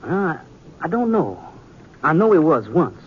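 Earnest young Old West sheriff, reserved and polite, American, muffled radio drama with faint noise. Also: male voice, on the high side, very animated. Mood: earnest, wistful. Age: young adult. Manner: courteous, reserved, deliberate. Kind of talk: 1950s radio Western acting. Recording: muffled noisy 1950s radio recording.

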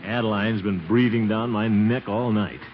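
An actor playing a pompous, flustered middle-aged bachelor in 1940s radio comedy, blustering and stammering. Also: male voice, lowish voice, fairly even intonation, normal speed, lightly acted. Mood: flustered, evasive. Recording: muffled 1940s radio recording.

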